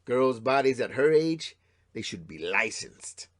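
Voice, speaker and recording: A man's voice: medium pitch, very animated, measured pace. A menacing, swaggering father. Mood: sly, probing. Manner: swaggering, goading, macho talk. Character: menacing, crude middle-aged father, estranged husband, needling his son. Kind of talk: audio play acting.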